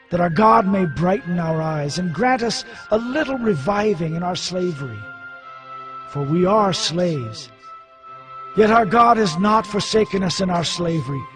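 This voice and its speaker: An actor playing a grief-stricken, devout priest in a dramatized scripture reading, solemn and pleading as he declaims. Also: sits high. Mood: sorrowful, solemn. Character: grief-stricken, ashamed, devout male priest praying to God.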